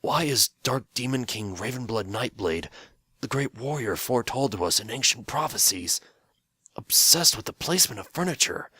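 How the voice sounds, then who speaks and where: A man's voice: mid-range voice, lively intonation, moderate pace, bright, forceful tone, husky, dry recording, voice pushed up. A man voicing an old gray male unicorn, a royal counselor who speaks in a formal, archaic and hesitant way. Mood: solemn, bewildered.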